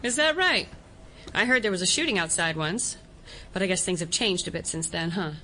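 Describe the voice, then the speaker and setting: An actress playing a guarded, matter-of-fact apartment resident in an audio drama. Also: female voice, lowish voice, animated, brisk, bright, forceful tone. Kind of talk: naturalistic audio drama acting. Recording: faint background noise.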